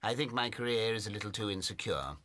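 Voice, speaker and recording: An actor playing an eccentric, dry-witted English amateur astronomer, narrating in a wry, old-fashioned, well-spoken manner. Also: male voice, on the low side, lively intonation, quick pace, sharp tone. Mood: wry, rueful. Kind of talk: radio drama narration and dialogue.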